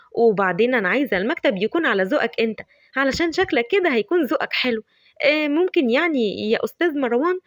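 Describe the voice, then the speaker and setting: A woman's voice: sits high, no echo, clean studio sound. A woman putting on a giddy, lovestruck young Egyptian woman. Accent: Egyptian Arabic. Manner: excited, teasing, dramatic.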